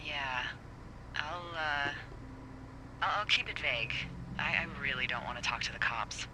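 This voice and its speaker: A woman's voice: very deep voice, measured pace. A woman playing a wry, teasing fire lookout supervisor in a video game, talking playfully over a walkie-talkie. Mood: serious, guarded. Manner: playful, sardonic. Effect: walkie-talkie radio. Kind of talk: naturalistic video game voice acting. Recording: radio-filtered voice with clear background noise.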